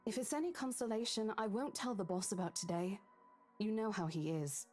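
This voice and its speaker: An actress playing a caring young real estate agent who talks in a reassuring, coaxing way in a horror visual novel. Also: female voice, mid-range voice, brisk, a little mellow, soft background music, close to an everyday voice.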